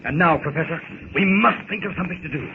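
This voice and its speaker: An actor playing an earnest, cautious gentleman adventurer with proper, measured phrasing in a 1930s radio serial. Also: fairly high pitch, natural voice. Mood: resolved. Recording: scratchy, tinny 1930s radio recording.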